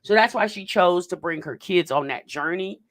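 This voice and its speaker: A woman's voice: fairly low pitch, moderate pace. A woman hosting a casual reaction video, talking straight to her viewers and stretching words out for emphasis while she reads the live chat. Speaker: host of a reaction commentary channel.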